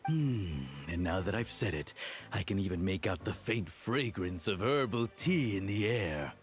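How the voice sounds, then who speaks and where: Slow, theatrical villainous baron. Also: male voice, low voice, lively intonation, loud music behind the voice. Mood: smug musing. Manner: theatrical, mocking, drawn-out delivery. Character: smug, cruel aristocratic villain baron and father. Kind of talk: dramatic video game acting.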